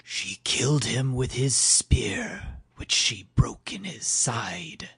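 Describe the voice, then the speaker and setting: A man voicing a sinister hooded messenger of a death goddess in an audio drama, with a slow, menacing, breathy whisper. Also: medium pitch, very animated, fairly bright tone, no echo, pitched above the performer's own voice, exaggerated voice. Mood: cold menace.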